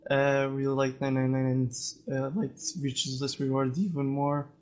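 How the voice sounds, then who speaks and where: A man talks casually as a podcast guest, with little movement in his pitch. He is hesitant and halting as he searches for words. The recording is dull and lo-fi. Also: little pitch movement. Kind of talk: casual discussion of opinions. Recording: dull, lo-fi voice-chat audio.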